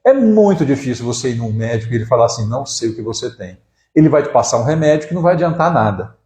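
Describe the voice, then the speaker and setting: A man's voice: medium pitch, animated, fast talker, a little mellow. Brazilian man in his forties, echoey. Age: 40s. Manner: conversational, frequent "né?" tags and restarts. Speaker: acupuncturist hosting a live stream. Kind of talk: casual live talk.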